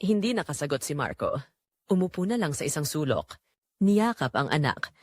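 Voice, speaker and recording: A Filipino woman narrates a scripted drama in an expressive, measured way. Her pitch moves in lively swings, and the recording is dry and close, with no room sound. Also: dry recording.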